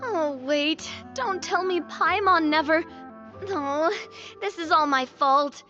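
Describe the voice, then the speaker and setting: A woman playing a lively, curious, teasing young woman with a casual manner in a video game. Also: extremely high pitch, slow pace, dull audio, faint music behind, exaggerated voice.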